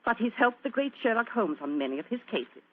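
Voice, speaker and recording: Casting: fond, teasing doctor's wife, extremely lively delivery, vintage narrow-band radio drama. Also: female voice, a little high, fairly quick. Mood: sociable. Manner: bright, genteel, wifely teasing. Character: affectionate, gently teasing doctor's young wife. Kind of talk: old-time radio drama acting. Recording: narrow-band old radio recording with hiss.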